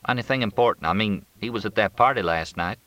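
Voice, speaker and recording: A man's voice: on the low side, quick pace, sharp tone, no room sound. A man voicing a wry, complaining young working man with folksy, slangy talk in an audiobook. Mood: uneasy.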